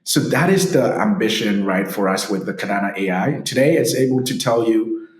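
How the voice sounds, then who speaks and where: A man's voice: on the low side, expressive, normal speed. Man from Ghana explaining, echoey room. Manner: upbeat, explanatory, frequent "right?" tags. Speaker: startup founder guest. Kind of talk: podcast interview answers.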